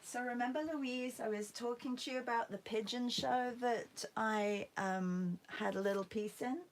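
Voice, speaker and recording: A woman with a hoarse, croaky voice after a concert, chatting casually with viewers as she hosts an art livestream. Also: fairly high pitch, steady tone, moderate pace, rough recording. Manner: chatty, greets viewers by name. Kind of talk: casual livestream chat while painting.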